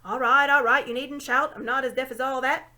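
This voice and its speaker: Storybook dwarf: old, male, deaf, cranky, loud and barking; a woman reads him. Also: high-pitched, steady tone, fairly quick, voice pushed up. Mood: irritable. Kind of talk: audiobook reading.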